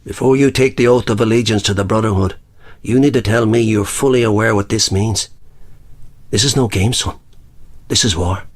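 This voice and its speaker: An actor playing a solemn, commanding older republican recruiter in a radio play. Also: male voice, fairly low pitch, moderate pace, natural voice. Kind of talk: radio drama dialogue.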